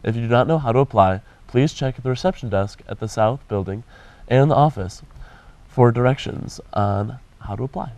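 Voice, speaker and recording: A man's voice: medium pitch, moderate pace, darkish tone, no echo. A teenage boy anchoring a school news broadcast, reading announcements in a plain, informative way. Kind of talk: morning announcements.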